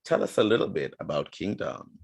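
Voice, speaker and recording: A man's voice: deep voice, expressive, a little fast, creaky, husky. A man hosting a conversational interview, speaking as the one who asks the questions. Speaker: interview host.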